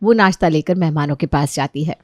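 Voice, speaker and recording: A woman's voice: sits low, fairly quick, darkish tone, dry recording. A woman reading scripted narration as the storyteller of a moral tale.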